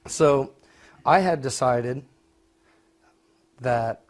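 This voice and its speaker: A man's voice: medium pitch, extremely slow. A young man giving an informal student project presentation, speaking a little hesitantly and correcting himself as he goes. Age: young adult.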